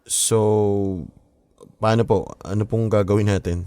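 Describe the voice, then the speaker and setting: An actor playing an anxious, questioning nineteen-year-old son and student. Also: male voice, fairly low pitch, steady tone, slow, mellow, clean tone, dry recording. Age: teens. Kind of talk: radio drama acting.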